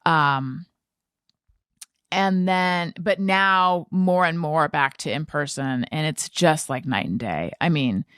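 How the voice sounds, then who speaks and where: A woman's voice: deep voice, expressive, slow pace, fairly bright tone, smooth, no echo, studio quality. An American woman in her forties chatting casually as a podcast host, often stopping to correct herself and think aloud. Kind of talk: casual conversational interview chat.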